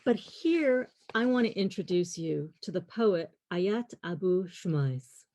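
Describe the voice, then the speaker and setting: A middle-aged woman lecturing on literature, reading in a measured, deliberate way with pauses. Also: fairly low pitch, animated, dark tone. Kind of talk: prepared lecture, reading quotes and poems aloud.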